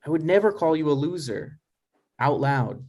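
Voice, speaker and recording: A man playing a skeptical grown son with a dry, halting delivery in a conversational comedy table read. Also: a little high, lively intonation, darkish tone. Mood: exasperated.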